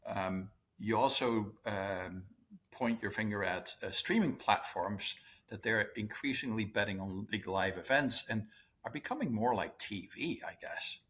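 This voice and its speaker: A man with a Dutch accent hosts the interview, his voice sitting a little low. He asks friendly, leading questions and steers the talk from topic to topic. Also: a little slow, a bit bright. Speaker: interview host. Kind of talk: conversational interview.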